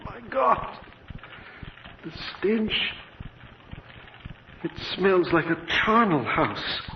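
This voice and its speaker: Casting: pious, appalled lord, highish voice, grave dramatic delivery, vintage radio horror, muffled sound. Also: male voice, big pitch swings, extremely slow, full character voice. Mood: horrified. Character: horrified, pious nobleman lord. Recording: dull, noisy old radio recording.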